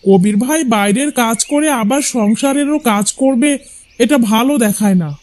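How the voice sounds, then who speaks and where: A man's voice: very high-pitched, moderate pace, darkish tone. A man voicing a chatty, opinionated husband in a cartoon folk tale, with a coaxing manner. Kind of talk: Bengali cartoon fairy-tale voice acting.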